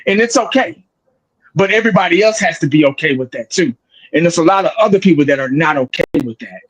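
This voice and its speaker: Man, forties, sports creator; slightly high voice, blunt and profane, rambling delivery with restarts. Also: animated, fast, slightly rough, rough recording. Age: 40s. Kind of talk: casual podcast chat.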